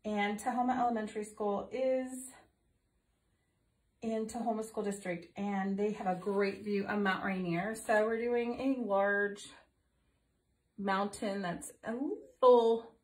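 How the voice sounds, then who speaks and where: A woman's voice: medium pitch, fairly even intonation, slow pace, darkish tone. Chatty woman painting in echoey room. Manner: chatty, explains while painting, thinks aloud with ums. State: voice a bit off from recent illness. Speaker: art teacher demonstrating painting. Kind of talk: casual painting tutorial. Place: home art studio room. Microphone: phone camera overhead, speaker off to the side.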